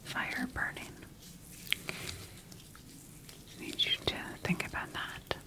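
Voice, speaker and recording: A woman voicing a methodical scientist in an ASMR sci-fi medical roleplay, speaking in a measured, formal and gently instructing way. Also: natural voice. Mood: observant, instructing. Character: clinical, methodical female scientist examining her bioengineered monster creation.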